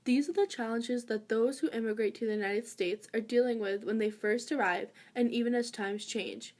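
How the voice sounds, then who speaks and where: A woman's voice: a little high, normal speed, darkish tone. A woman narrating a scripted student documentary in a formal read-aloud manner.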